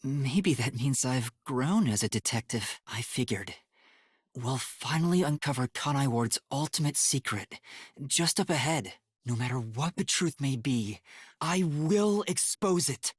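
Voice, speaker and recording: Young male trainee detective for an anime video game: sincere, easily flustered, highly expressive intonation, soft husky breathiness, close dry sound. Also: unhurried, no echo. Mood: earnest, determined. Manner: earnest, hesitant, prone to startled yelps.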